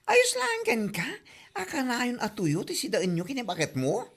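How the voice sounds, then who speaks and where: An actress playing a nosy, sassy, gossiping busybody in a comedy drama, with an Ilocano accent. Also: female voice, fairly high pitch, huge pitch swings, moderate pace, slightly rough, exaggerated voice. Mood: prying.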